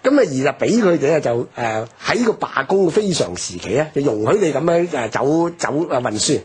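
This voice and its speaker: A man with a Hong Kong Cantonese accent gives an unscripted, emphatic explanation as a history commentator on radio, his voice husky and rough. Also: fairly high pitch, lively intonation, normal speed. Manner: explanatory, emphatic, frequent rhetorical tags. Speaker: history commentator, programme guest.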